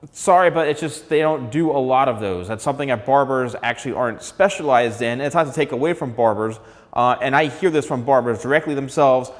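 A man, a hairstylist talking casually to camera, gesturing and saying "all right" often. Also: medium pitch. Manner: conversational, gestures a lot, frequent "all right". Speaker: hairstylist and channel host. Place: large studio room with piano, indoors. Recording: long reverb in a bare room.

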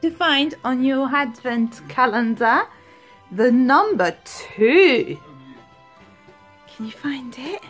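Mum doing a home vlog, high voice, really up and down, chatting to her kids. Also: slow pace, pauses often, faint music behind. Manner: animated, sing-song with her children. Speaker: vlogger and mother. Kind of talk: casual home vlog chat, talking to young children. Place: indoors at home, kitchen and bedroom.

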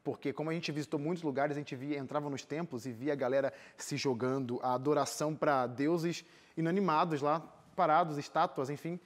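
A young Brazilian man speaks quickly in a slightly high voice. He tells his experiences in a casual, animated way, often restarting phrases as a studio interview guest. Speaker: interview guest, singer and missionary volunteer. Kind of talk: casual personal storytelling in an interview. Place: TV studio, seated.

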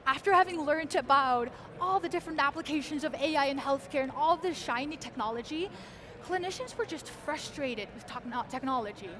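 Young Icelandic woman guest, high bright voice, eager and articulate, lapel mic at a convention booth. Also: a little fast, a crowd in the background. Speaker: guest, research engineer. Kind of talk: conversational interview. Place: large convention hall booth, indoors.

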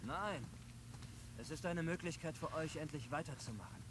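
An actor voicing an easygoing young male soldier in a video game, relaxed and teasing. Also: on the high side, moderate pace, noisy background, lightly acted. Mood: coaxing. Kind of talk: German game dub.

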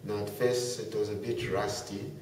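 Deep-voiced Zambian teenage boy, echoey room. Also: level tone, normal speed, darkish tone, far from the mic, a little hiss or hum. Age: teens. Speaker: interviewee. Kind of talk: casual interview answers. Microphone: far camera.

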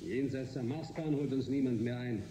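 An actor playing a gruff, matter-of-fact spaceship propulsion expert in a German science-fiction radio drama, explaining technical matters briskly. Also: male voice, medium pitch, dark tone, natural voice. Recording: roomy echo with faint background noise.

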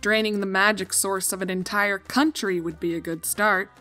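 A young woman narrates a story from a script. Her voice sits at a middle pitch, with music playing faintly behind her. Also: fairly even intonation, normal speed, bright, forceful tone.

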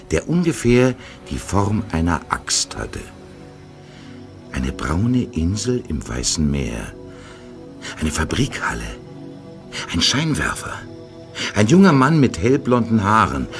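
A man narrating a radio play in a measured, dramatic way. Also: deep voice, gravelly. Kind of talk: scripted narration.

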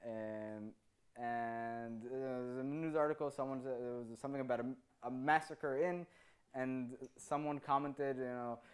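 A man in his thirties, native Hebrew speaker, fields questions from an audience in a meeting room. He talks into a handheld mic, animated and gesturing, tagging his points with a quick "right?". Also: mid-range voice, smooth. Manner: animated, gestures with free hand, tags sentences with "right?". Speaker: conference speaker. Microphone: handheld microphone.

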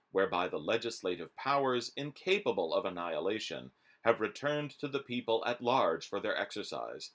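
A middle-aged man reads a formal historical text aloud. His voice sits on the low side and moves widely up and down, with a measured, declamatory delivery. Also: a bit bright. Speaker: reader. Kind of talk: reading a historical document aloud. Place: small room at home, indoors.

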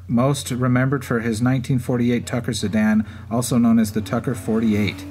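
A man's voice: medium pitch, a little mellow. A man hosting a podcast, reading scripted narration in turns. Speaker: podcast host. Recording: clear background ambience noise. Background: racetrack event ambience.

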